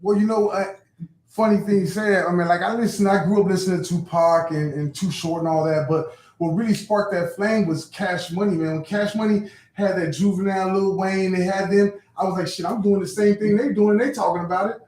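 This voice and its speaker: A man's voice: high-pitched, steady tone, brisk, darkish tone. A man from San Pedro, California, a rapper answering interview questions in a laid-back, hesitant way. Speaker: rapper guest. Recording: echoey room.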